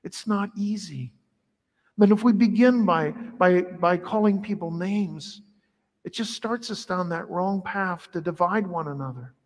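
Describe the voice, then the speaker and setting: A middle-aged priest preaches in a lively, conversational way, his voice sitting high for a man. The sound is caught from across a large church sanctuary, with a long reverb trailing each phrase. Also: unhurried. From Ireland heritage. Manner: animated, conversational preaching with wide arm gestures. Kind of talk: sermon.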